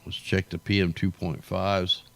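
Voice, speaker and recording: A man with a low, creaky voice hosts a casual livestream. He sounds tired, with his voice worn out. His commentary is off the cuff, rambling and full of slang. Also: level tone, measured pace. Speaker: livestream host.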